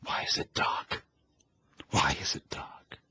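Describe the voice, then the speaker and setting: A male reader voicing a frightened young woman who whispers in dread and then rises into loud defiance. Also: takes it slowly, no room sound. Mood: whispered terror.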